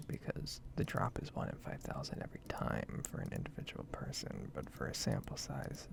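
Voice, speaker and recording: A woman softly whispering a casual, rambling ASMR commentary over a game. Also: a bass voice, creaky. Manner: soft-spoken, rambling, casual asides. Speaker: gaming video creator.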